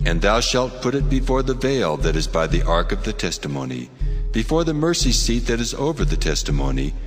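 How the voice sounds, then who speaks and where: A man playing God as a solemn, commanding deity in a dramatized scripture reading, speaking with measured authority. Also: on the low side, very animated. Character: God, solemn commanding male deity giving sacred laws. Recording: long reverb, music behind.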